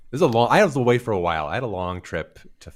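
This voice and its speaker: Gravelly-voiced sick man, low and lively. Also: very fast. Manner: rambling, laid-back, trails off quietly. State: hoarse from being sick. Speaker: podcast co-host. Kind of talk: casual chat.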